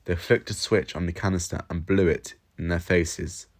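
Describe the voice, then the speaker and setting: Low-pitched man reading a story. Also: fairly even intonation, normal speed. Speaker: story reader. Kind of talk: scripted narration of an audio short story.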